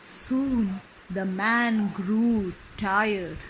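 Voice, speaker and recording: A woman storyteller reading a children's book expressively and deliberately, phrase by phrase. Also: medium pitch, a little hiss or hum, poor audio. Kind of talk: expressive scripted storybook narration.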